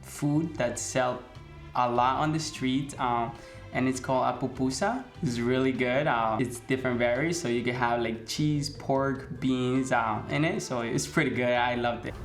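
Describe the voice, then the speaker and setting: A man's voice: mid-range voice, steady tone, unhurried, reverberant, faint music behind. A man from El Salvador whose first language is Spanish, chatting easily as a guest with hesitant fillers. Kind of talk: casual chat.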